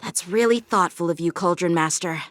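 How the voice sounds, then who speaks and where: A woman's voice: fairly low pitch, normal speed, dry recording, natural voice. A woman playing an earnest, hot-headed young knight in a video game, speaking in an emphatic, formal way. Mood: dutiful. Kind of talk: scripted anime-style video game dialogue. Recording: background music under dialogue.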